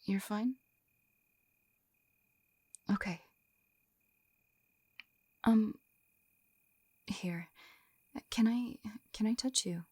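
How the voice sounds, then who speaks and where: A young woman playing a gentle, shy lamia on a first date in an intimate ASMR roleplay, soft-spoken and often whispering, hesitant and tender, close to the microphone. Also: extremely slow, pauses often, no echo. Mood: flustered, tender. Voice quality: soft, breathy whispering mixed with low murmurs. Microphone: close-up microphone, dry sound.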